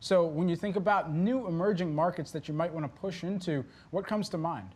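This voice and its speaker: A man's voice: a little high, a little fast, darkish tone, slightly rough. A man co-hosting a live TV business segment, asking questions in a casual, friendly way. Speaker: TV news co-host.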